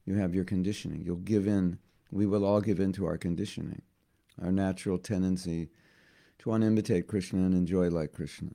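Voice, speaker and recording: A hoarse man giving a devotional class, talking haltingly and often repeating words as he thinks. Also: deep voice, fairly even intonation, mellow. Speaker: spiritual teacher.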